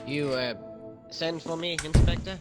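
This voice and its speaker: An actor playing a deferential, methodical police sergeant in a radio mystery, careful and deliberate. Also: male voice, a little high, loud music behind the voice, lightly acted. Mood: dutiful. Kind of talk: radio mystery drama acting.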